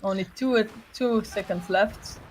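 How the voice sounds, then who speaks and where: A woman co-casts an esports match in a casual, off-the-cuff style. Her voice sits at a middle pitch and she is blunt and teasing. Faint noise and clicks sit in the background. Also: a little slow. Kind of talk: casual live commentary and banter.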